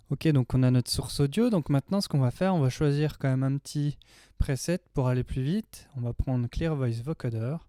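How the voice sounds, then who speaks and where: A man's voice: mid-range voice, mellow, dry recording, clean studio sound. A man in his thirties giving a casual, chatty, slangy tutorial as a music production tutor. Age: 30s. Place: small home studio indoors.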